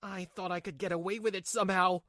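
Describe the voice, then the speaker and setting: A man's voice: high-pitched, steady tone, fast talker, bright, forceful tone, dry recording, studio quality. A man voicing a whiny, cowardly cheating husband in a manga dub, stammering and pleading. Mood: indignant whining.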